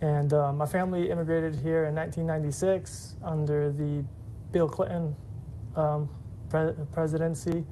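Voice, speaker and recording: A woman introducing her background in a relaxed, chatty way with fillers. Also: sits low, normal speed, a little hiss or hum, low-quality recording. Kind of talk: unscripted self-introduction.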